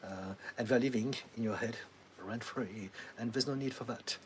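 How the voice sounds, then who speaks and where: Man, French accent, middle-aged, calm advising tone, medium pitch, faint hiss. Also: moderate pace. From France. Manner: calm, advising, laughs often, many ums. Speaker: therapist answering live chat questions. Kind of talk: livestream Q&A.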